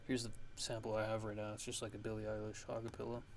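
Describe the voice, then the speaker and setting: A man with a fairly low, very flat voice walks through a casual software tutorial. He rambles and pauses as he thinks aloud, with some gravel in his tone. Clear noise sits behind him. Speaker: music production tutorial host.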